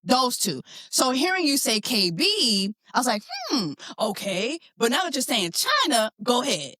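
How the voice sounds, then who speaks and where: Lively, eager woman podcast host. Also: fairly high pitch, normal speed, no echo, studio quality. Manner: eager, interrupting, questioning. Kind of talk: casual interview chat.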